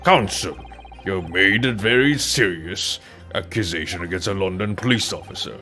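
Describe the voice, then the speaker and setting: Man doing a pompous, low-voiced stern judge. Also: moderate pace, pushed and bright, faint music behind. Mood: stern warning. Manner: formal, grandiose. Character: pompous, stern male British courtroom judge.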